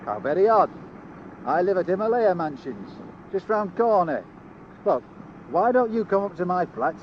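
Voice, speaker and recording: An actor playing an earnest, proud, eccentric inventor with a Northern English accent and clipped phrasing, in a radio drama. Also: male voice, high-pitched, sing-song, normal speed. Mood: proud, precise. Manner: zealous, clipped, drops articles. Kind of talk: British radio drama acting. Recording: dull, noisy old radio drama recording.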